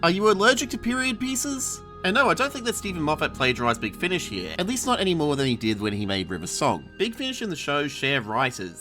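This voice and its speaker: A man's voice: fairly high pitch, pushed and bright. An Australian man narrating an opinionated scripted review in a sweary, emphatic, sardonic way. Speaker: video review host. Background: music under the voice.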